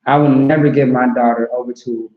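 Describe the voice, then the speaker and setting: A man's voice: medium pitch, fairly even intonation, a little fast. A man teaching a scripture class in an emphatic, repetitive way, often checking that listeners follow. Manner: emphatic, repetitive, asks "see what I'm saying". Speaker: class instructor. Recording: roomy, dull recording.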